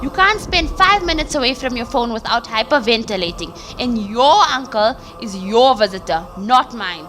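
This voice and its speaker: An actress playing a defiant, scornful young wife with a South African accent in an audio drama. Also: female voice, fairly high pitch, lively intonation, moderate pace, few pauses, fairly bright tone, slight background noise. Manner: snappy, confrontational.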